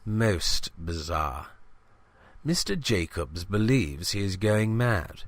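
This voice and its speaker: A man's voice: sits low, sing-song, slow, no echo, full character voice. A man playing a pompous, fussy British village reporter who dictates a formal audio diary in a comic drama. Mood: suspicious, resolute. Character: pompous genteel village newspaper reporter, self-important, fussy, prim.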